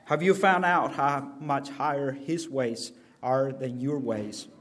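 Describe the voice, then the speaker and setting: A man from El Salvador, preaching a sermon as a guest preacher and building from quiet words to loud emphasis. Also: mid-range voice, slow.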